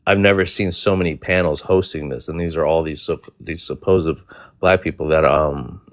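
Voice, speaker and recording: A man's voice: rumbling low, fairly even intonation, moderate pace, no room sound, studio quality. A man hosting a casual live-stream panel, rambling and exasperated, with frequent restarts. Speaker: panel host.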